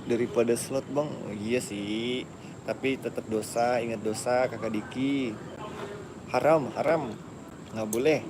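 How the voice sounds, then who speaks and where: A man's voice: medium pitch, fairly even intonation, measured pace. A young man, chatting casually as he reads live comments aloud. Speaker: live streamer. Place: outdoors at a busy tennis court. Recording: noisy outdoor spot, traffic-like background.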